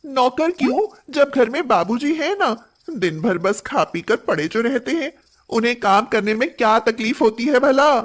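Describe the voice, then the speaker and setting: A high-voiced young housewife played by a woman from a moral story cartoon. She is bossy and haughty, nagging with sarcastic scorn and cruel impatience. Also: animated, a little slow. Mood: scornful.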